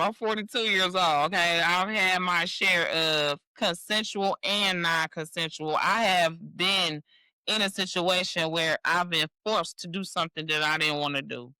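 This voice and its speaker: A woman's voice: on the low side, pushed and bright, clean tone, no echo, rough recording. A woman in her forties giving blunt, opinionated commentary on a news story, speaking straight to the viewer. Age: 40s. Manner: blunt and direct, talks straight to the viewer as "sis". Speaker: vlogger.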